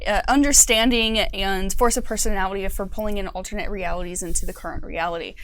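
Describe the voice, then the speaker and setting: A woman's voice: medium pitch, steady tone, fast, a bit bright. A young adult woman giving an explanatory overview as a game designer and panel guest in a studio. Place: small curtained studio, seated on couch.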